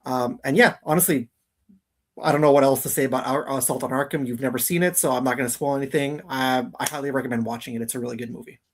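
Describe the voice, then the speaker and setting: A man's voice: mid-range voice, fairly even intonation, fast talker. A man co-hosting a casual geek talk show, circling back and repeating himself as he talks. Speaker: co-host of a live geek countdown show. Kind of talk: casual chat.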